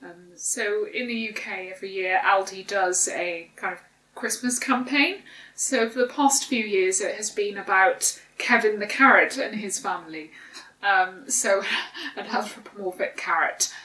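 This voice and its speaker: A woman's voice: medium pitch, a little slow, bright, forceful tone, reverberant, far from the mic, rough recording. A woman in casual chat at home, a bit sniffly from hay fever, talking hesitantly with many ums. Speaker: co-host. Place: home living room sofa.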